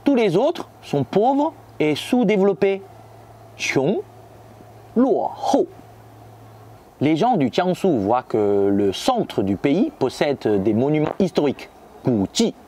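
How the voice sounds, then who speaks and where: A native Chinese speaker from Shanghai narrates a scripted lesson, his fairly high voice rising and falling a lot. He stops now and then to say a Chinese term slowly and clearly. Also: very animated, warm tone, a little hiss or hum. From Shanghai, China. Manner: reads French lines, then says Chinese terms slowly. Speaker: language teacher.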